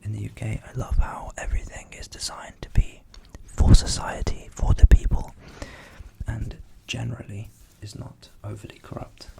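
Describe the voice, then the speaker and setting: A young man who grew up in England with Ukrainian as his first language, whispering softly as an ASMR host answering viewer questions and thinking aloud. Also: lowish voice, completely flat delivery, normal speed, vocal fry, low-quality recording. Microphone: studio USB microphone.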